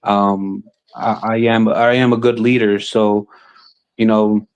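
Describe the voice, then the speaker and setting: A man who sits on a city council, talking casually and haltingly about politics, filling his speech with "you know" and restarts. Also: lowish voice, little pitch movement, a crowd in the background. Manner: halting, many fillers and restarts. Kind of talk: casual chat.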